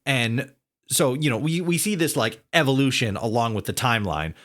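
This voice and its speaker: A man hosting a casual interview podcast, building long questions haltingly and repeating words as he goes. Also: mid-range voice, expressive, a bit bright.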